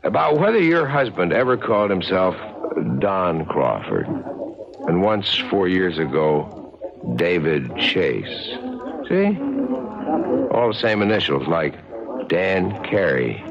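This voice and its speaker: A man's voice: low voice, sing-song, slow pace, vocal fry, pauses often, crowd noise, heavily acted. A man acting a grave, insistent investigator in a 1970s radio drama, ominous in manner. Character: grave male investigator pressing a wife with suspicions. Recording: muffled vintage radio, background din.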